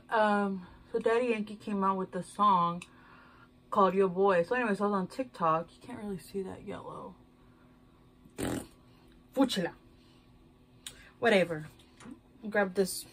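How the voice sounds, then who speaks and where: A woman's voice: medium pitch, a little hiss or hum. A young woman from Houston, chatting in a loose, rambling way while doing her makeup in a small bedroom, talking to a camera at desk distance. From Houston, Texas. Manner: rambling, loose, swears casually, self-mocking. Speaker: beauty vlogger. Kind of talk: casual chatty get-ready-with-me. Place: small decorated bedroom, indoors.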